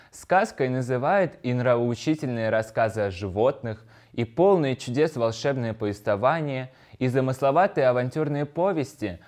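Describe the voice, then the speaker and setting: A man with a mid-range voice delivers scripted educational narration. He explains things like a teacher, speaking directly to his pupils in prepared sentences. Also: medium pitch. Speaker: language lesson presenter.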